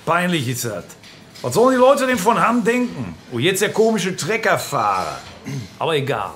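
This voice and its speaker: A hearty, blunt male politician in a crime radio play. His high voice moves in lively swings. He talks in a blustery, colloquial way, with faint music around him. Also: moderate pace. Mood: blunt, scornful. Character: jovial, blunt, hearty local politician, big eater. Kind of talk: naturalistic crime radio drama acting.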